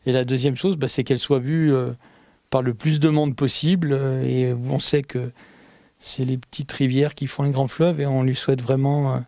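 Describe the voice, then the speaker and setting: A man speaking as a local community council president in an interview, measured with occasional fillers. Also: mid-range voice, little pitch movement, warm tone, no echo. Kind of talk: interview statement.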